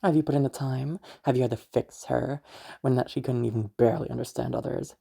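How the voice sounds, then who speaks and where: A woman voicing a cruel, pious, domineering mother in an amateur audio roleplay, condescending and taunting, with drawn-out pauses and hums. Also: very deep voice, fairly even intonation, vocal fry, mellow, husky, exaggerated voice. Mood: scornful, righteous.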